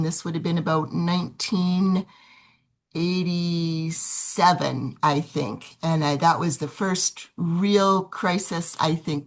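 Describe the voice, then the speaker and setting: An older woman joins the call to share her long career as an executive director, speaking in a reflective, storytelling way. Her voice sits very low for a woman. It comes through a video call connection. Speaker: nonprofit executive director, webinar participant. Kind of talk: recounting experience on a video call.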